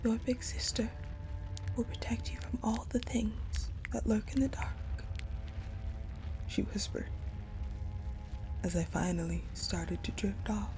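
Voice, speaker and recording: A woman playing a wounded, vengeful ice-powered general in a dramatic audio roleplay monologue, halting and breathy, often slipping toward a whisper. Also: mid-range voice, mellow, soft background music, poor audio. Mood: tender, mournful.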